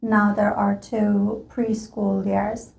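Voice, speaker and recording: A woman's voice: medium pitch, little pitch movement, slow pace, gravelly, darkish tone. A woman from Iran, a native Farsi speaker, answering interview questions in a hesitant way, with many ums and likes. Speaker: interview guest. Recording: echoey room.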